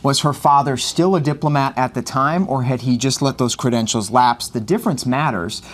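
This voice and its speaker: A man's voice: medium pitch, normal speed. A man anchoring a live news broadcast, chatting with a co-anchor and using frequent "uh" fillers. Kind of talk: live news desk conversation.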